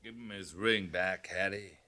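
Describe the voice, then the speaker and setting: A man's voice: low voice, unhurried, pushed and bright. A man playing a terse, courteous but firm American cavalry captain in a 1950s radio western. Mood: level, bargaining. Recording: vintage 1950s radio recording.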